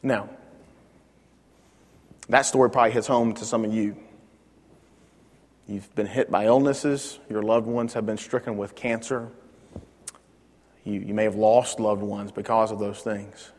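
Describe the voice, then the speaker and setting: A man teaches a Bible lesson in a church classroom, speaking loudly and emphatically into a microphone and often restarting his words. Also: fairly low pitch, fairly even intonation, a little slow, pauses often, big-room sound.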